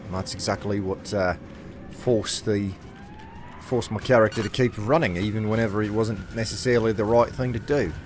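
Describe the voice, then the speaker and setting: A married man streaming a game, rambling casually and self-deprecatingly with halting restarts. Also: lowish voice, fairly even intonation, darkish tone, a little hiss or hum. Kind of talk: casual gameplay commentary.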